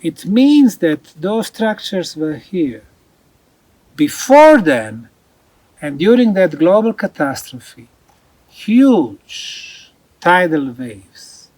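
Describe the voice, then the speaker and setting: A man giving an unscripted explanatory talk as a researcher, deliberate and emphatic, repeating key points. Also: high voice, very animated, a little mellow, no echo. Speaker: researcher presenting ancient megalithic sites.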